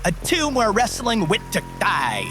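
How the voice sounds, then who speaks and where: A high, swooping voice for a starstruck young fan in a noir radio play. He is eager and fawning, with prominent music behind him. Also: a little slow, full character voice. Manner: excitable, fawning. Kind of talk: noir audio drama acting.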